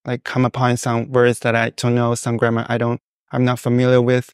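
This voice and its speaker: Young man, smooth and flat. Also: mid-range voice, no echo, clean studio sound. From China. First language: Mandarin Chinese. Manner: thoughtful, many fillers and pauses. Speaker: guest. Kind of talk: casual interview answers.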